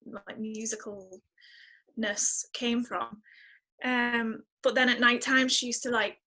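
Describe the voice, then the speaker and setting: A woman's voice: a little high, sharp tone, low-quality recording. A woman with a Northern English accent chatting casually and informally as an interview guest. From northern England. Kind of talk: casual chat.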